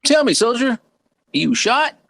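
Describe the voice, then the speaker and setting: A man playing a folksy, wry frontier doctor and former army medic, gravelly and drawling, in a table read of a western. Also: very high-pitched, very animated, a little slow, a bit bright, full character voice. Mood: wry, matter-of-fact.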